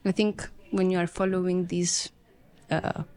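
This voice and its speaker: A woman, a psychology student reflecting calmly as a podcast guest. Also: lowish voice, a little slow, smooth. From Italy. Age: 20s. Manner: calm, reflective, some fillers.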